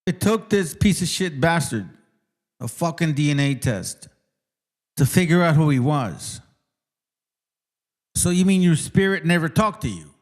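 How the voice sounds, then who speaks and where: A man's voice: on the high side, expressive, measured pace, long pauses. A man giving informal reaction commentary in a scornful, mocking and profane manner. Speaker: commentator reacting to a played clip.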